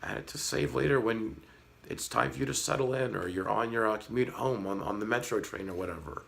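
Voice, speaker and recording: Low gravelly man, slightly hoarse. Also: a little fast, a bit bright. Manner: frank, earnest, lightly sarcastic. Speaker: fish-keeping vlogger. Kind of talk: casual talk to camera.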